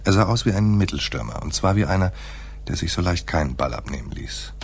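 An actor playing a laconic hard-boiled private detective in a noir radio play, with a dry, terse delivery and a husky voice. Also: male voice, expressive, brisk, full character voice. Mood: dry, detached. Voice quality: husky, very deep. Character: hard-boiled male private detective narrating his own case. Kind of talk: noir radio play, first-person narration.

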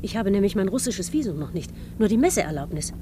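An actress plays a talkative woman on a journey in a radio play. She drops English phrases into her speech in a mid-range voice. A clear hum and noise sit behind her. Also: mid-range voice, lively intonation, quick pace, warm tone, natural voice. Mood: chatty, concerned. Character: chatty traveling woman mixing English into German, anxious about her visa. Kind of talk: German radio play acting.

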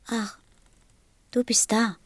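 A woman playing a gentle, wistful small boy from a star in a German radio play, speaking softly, tenderly and solemnly. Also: fairly high pitch, level tone, slow pace, no room sound, studio quality. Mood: sad, soft. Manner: tender, solemn, simply spoken.

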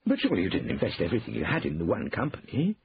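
A man's voice: mid-range voice, very animated, fast, breathy edge, dry recording, phone-quality sound, voice pushed up, full character voice. A man voicing an anxious, tight-fisted shopkeeper in an audiobook, flustered, incredulous and evasive.

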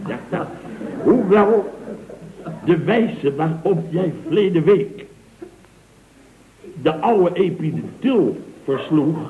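A wheedling contractor boss from a 1960s radio comedy, speaking Netherlands Dutch. His voice swoops expressively as he blusters, hints and feigns innocence, repeating himself. The old recording is muffled and roomy. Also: fairly high pitch. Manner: blustering, insinuating, mock-innocent, stammering repetitions. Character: wheedling, scheming male building contractor boss.

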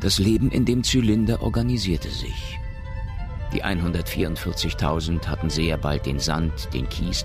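A man narrating a science fiction radio drama in a grave, gravelly voice. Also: very deep voice, heavily acted. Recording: music behind the voice.

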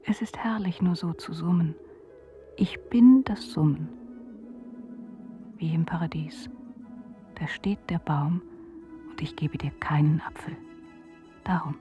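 An ethereal, tender character in a radio play. She half-whispers slowly and dreamily in a soft, dark tone, with faint music behind. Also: low-pitched. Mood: dreamy. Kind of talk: German radio play acting.

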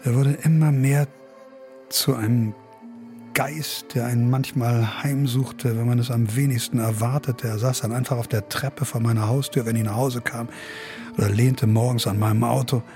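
An actor playing a weary, detached middle-aged academic father, narrating a radio drama in a measured, reflective, melancholic way. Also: medium pitch, fairly even intonation, mellow, soft background music, close to an everyday voice. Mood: brooding, resigned. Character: weary, detached academic father brooding over his son.

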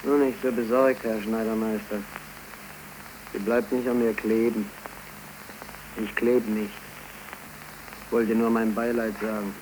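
An actor as a slow, sardonic jobless man, crackly. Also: lowish voice, flat delivery, natural voice. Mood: mocking. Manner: sardonic, deliberate. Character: bitter, mocking, down-at-heel young unemployed man. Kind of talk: 1930s radio play acting. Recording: noisy crackly 1931 radio recording.

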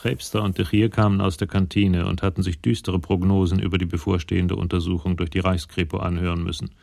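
A man narrating a radio crime play from a script in a measured, literary, matter-of-fact way. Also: low-pitched, level tone, gravelly, a little mellow. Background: music.

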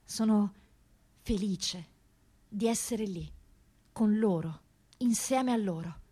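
Slow, reflective woman narrating radio theatre. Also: mid-range voice, dark tone, breathy edge. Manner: measured, reflective delivery with long pauses. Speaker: actor-author narrating a monologue. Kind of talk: dramatic radio theatre narration in first person.

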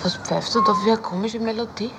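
A woman's voice: medium pitch, steady tone, a little fast, heavy background noise, lightly acted. An actress playing a teasing, incredulous woman in naturalistic film dialogue, insistent and colloquial. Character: teasing, incredulous woman needling her companion.

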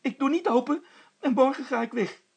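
A man's voice: very high voice, brisk, creaky, husky, pitched above the performer's own voice, exaggerated voice. A man voicing a stubborn, frightened female housekeeper in a story, calling out shrilly and insistently. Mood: stubborn, defiant.

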